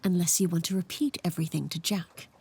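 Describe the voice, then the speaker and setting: An actress playing a bold, sardonic pirate woman in a musical audio drama, teasing and quick to confront. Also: on the low side, steady tone, vocal fry, warm tone, husky, full character voice. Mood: sharp, challenging. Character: bold, mocking, protective female pirate.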